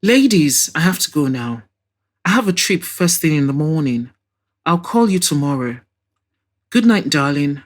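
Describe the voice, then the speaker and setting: A woman voicing a calm, affectionate man in an audio drama, a supportive boyfriend who speaks in a measured, reassuring way. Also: mid-range voice.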